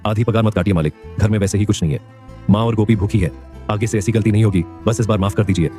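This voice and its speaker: An actor voicing a poor young laborer in an animated story, earnest and pleading. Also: male voice, lowish voice, fast talker, faint music behind, close to an everyday voice.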